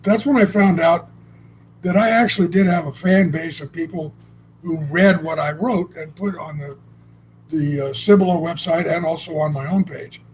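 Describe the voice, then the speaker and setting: A man's voice: a little high, normal speed, darkish tone, a little hiss or hum, low-quality recording. A man co-hosting a casual chat, telling stories in a blunt, salty, rambling way with frequent restarts. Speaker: co-host. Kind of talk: casual conversational storytelling.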